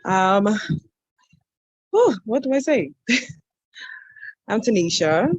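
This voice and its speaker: Slow, hesitant woman. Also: medium pitch, big pitch swings, no echo, muffled recording. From Bahamas. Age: 20s. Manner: hesitant and candid, with many pauses. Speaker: guest. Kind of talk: personal storytelling in conversation.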